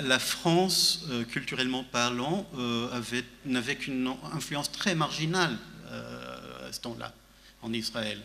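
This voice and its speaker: A man's voice: medium pitch, pushed and bright, slight background noise. A man, a panel guest speaking thoughtfully at a literary festival, with many fillers and restarts. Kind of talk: panel discussion. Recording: reverberant hall.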